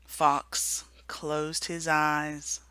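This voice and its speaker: A woman reading a children's storybook aloud for a remembrance service, gentle and hushed at the sad moments. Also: low voice, monotone, drawn-out words, smooth, dry recording. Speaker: story reader.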